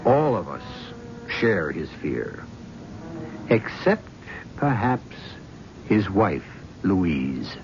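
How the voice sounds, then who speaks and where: Male radio host, ominous measured narration, low voice, dull old broadcast, music behind. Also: big pitch swings.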